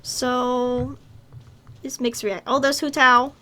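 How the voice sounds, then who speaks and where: Bright-voiced woman casually commentating gameplay. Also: high-pitched, level tone, slow. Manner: offhand, self-amused, dryly quipping. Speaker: video game streamer.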